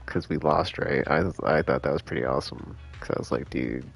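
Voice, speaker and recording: A man's voice: a bass voice, fairly quick, creaky. A man streaming a game live, chatting casually in a rambling, enthusiastic, slangy way. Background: game music.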